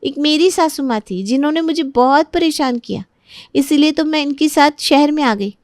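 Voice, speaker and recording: A woman's voice: sits high, normal speed, dry recording, clean studio sound, lightly acted. A woman playing a young pregnant daughter-in-law, gossiping and resentful, in a family story drama. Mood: gossiping, aggrieved.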